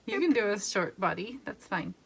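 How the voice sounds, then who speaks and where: Female art teacher, 30s, patient step-by-step drawing instructions, lively pitch. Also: normal speed, creaky, slight background noise. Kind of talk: guided drawing lesson. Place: home art studio.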